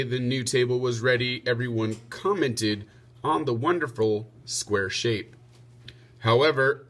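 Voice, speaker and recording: Bright-voiced man reading a storybook. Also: medium pitch. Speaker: teacher reading aloud. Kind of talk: children's storybook narration.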